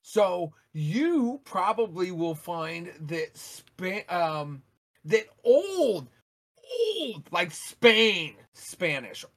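A man co-hosting a podcast, chatting casually, animated, talking over the others and repeating words when he gets excited. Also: high-pitched, very slow. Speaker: podcast co-host. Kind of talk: casual chat.

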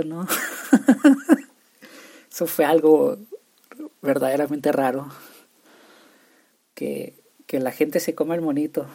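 A man's voice: fairly high pitch, dark tone. A young man from Chihuahua, Mexico, with a northern Mexican accent, hosting a casual solo show and often stumbling over his words and restarting. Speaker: podcast host.

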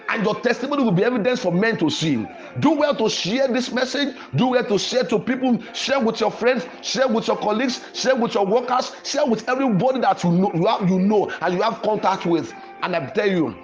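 A man preaching fervently in a Pentecostal prayer style, with forceful, repetitive declarations. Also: high voice, dull audio. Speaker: preacher leading a prayer podcast.

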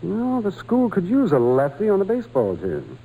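An actor playing a kindly, patient religious brother at a boys' school, gentle and hesitant, in an old-time radio drama. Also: male voice, sits high, wildly swooping pitch, a little fast, narrow, tinny audio, exaggerated voice. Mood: gentle coaxing.

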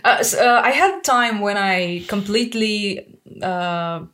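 A woman's voice: mid-range voice, lively intonation, takes it slowly, a bit bright. Russian-accented woman in casual chat. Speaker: podcast host.

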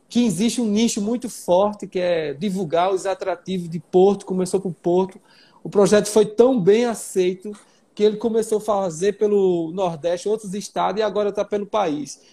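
High-voiced enthusiastic livestream host. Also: male voice. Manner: enthusiastic, animated introductions. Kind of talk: live interview hosting.

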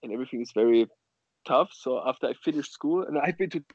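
A man with an Austrian accent and German as his native language talks casually as an interview guest. His phrasing is halting, with many pauses, and his mid-pitched voice comes through a dry, dull lo-fi connection. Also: a little mellow, no echo. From western Austria. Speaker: guest, sommelier and wine bar co-owner.

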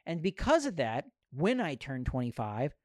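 A man's voice: fairly high pitch, big pitch swings, moderate pace, a little mellow, dry recording. Earnest man in his thirties, close dry sound. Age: 30s. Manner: earnest, rambling, repeats phrases, swears casually. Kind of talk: casual podcast chat.

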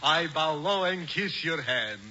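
A man's voice: on the high side, expressive, unhurried. An actor playing a fawning, money-grubbing con-man professor, wheedling and obsequious, in 1940s radio comedy style. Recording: muffled, noisy 1940s radio recording.

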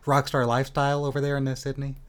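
A man's voice: mid-range voice, fast talker, clean tone. A man hosting a podcast, chatting casually with a guest and often stopping to restart his sentences. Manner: loud theatrical intro, then relaxed and rambling. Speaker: podcast host. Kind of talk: casual interview chat. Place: Las Vegas home studio.

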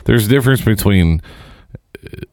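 The low-voiced guy at the podcast table, blunt and joking, his mic in a dry, close-sounding room. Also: lively intonation, moderate pace, a little mellow, no echo. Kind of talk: casual opinionated group chat.

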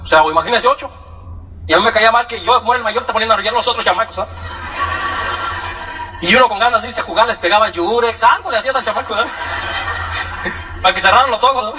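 A man's voice: high-pitched, quick pace, long pauses, far from the mic, soft background music, rough recording. Joking Mexican man telling his story. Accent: Mexican Spanish. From Michoacán, Mexico. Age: young adult. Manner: joking, animated, acts out quoted voices. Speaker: speaker giving a testimony. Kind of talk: storytelling talk to an audience.

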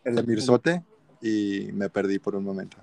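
A man's voice: on the low side, fairly even intonation, normal speed, gravelly, mellow, dry recording. A young man with a Mexican accent chatting casually with friends as a podcast co-host, using plenty of slang. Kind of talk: casual chat among friends.

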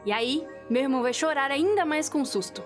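Woman as a high-voiced scheming teenage boy. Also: lively intonation, a little fast, soft background music. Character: scheming, taunting teenage older brother. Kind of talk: audio drama acting.

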